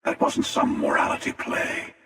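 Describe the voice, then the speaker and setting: A man voicing a menacing, weary ageless hunter in a video game, sardonic and deliberate, with a gravelly, reverberant otherworldly voice. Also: sharp tone. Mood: smug, menacing. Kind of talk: video game character dialogue. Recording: distant voice with long hall reverb.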